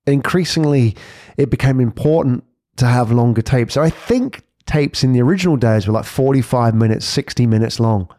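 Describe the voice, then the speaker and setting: A man's voice: mid-range voice, moderate pace, mellow, no echo, clean studio sound. A man in his forties talking in a casual, conversational podcast monologue, reflective and fond of rhetorical questions and tags. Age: 40s. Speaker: podcast host.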